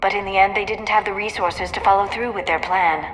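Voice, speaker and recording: A woman voicing a soft-spoken, earnest and troubled female alien scientist in a cinematic video game. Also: medium pitch, steady tone, fairly quick, a little hiss or hum, natural voice. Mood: earnest, reflective. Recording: long hall reverb over game music.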